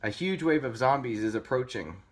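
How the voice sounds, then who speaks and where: Man as a bossy, excitable little pig. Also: mid-range voice, normal speed, close to an everyday voice. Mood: rallying. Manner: commanding, excitable. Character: bossy, bold third little pig rallying his brothers. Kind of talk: children's storybook character voice.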